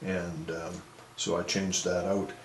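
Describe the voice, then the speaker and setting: A man with a lowish voice talks through a hands-on repair job. His delivery is very slow, hesitant and unscripted. The sound is noticeably roomy, with a noisy background. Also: flat delivery, recorded from a distance. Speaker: electronics repair video host. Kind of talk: hands-on repair tutorial narration.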